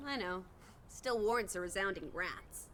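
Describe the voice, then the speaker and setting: A woman's voice: medium pitch, expressive, normal speed, fairly bright tone, slight background noise, close to an everyday voice. An actress playing a plucky, teasing young woman companion in a video game, with playful wit and old-fashioned slang. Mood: wry. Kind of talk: naturalistic video game voice acting.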